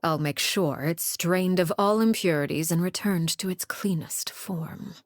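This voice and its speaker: An actress playing a cold, composed noblewoman diplomat in a game, speaking in a measured, formal and politely threatening way. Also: lowish voice, slight background noise, natural voice. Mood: measured, persuasive. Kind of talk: scripted game character dialogue.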